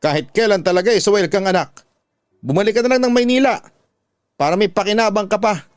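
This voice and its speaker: A man's voice: high voice, brisk, dry recording, voice pushed up, lightly acted. A man acts out a stern older Filipino father in a radio drama. He is harsh and unyielding, scolding and giving orders in a pushed-up, raised voice with a dry sound. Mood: angry, commanding. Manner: scolding, commanding.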